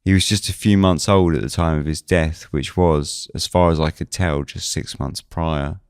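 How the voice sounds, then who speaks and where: A man's voice: a bass voice, darkish tone, smooth, no room sound, studio quality. A man reading scripted first-person suspense narration as the narrator of a fiction podcast.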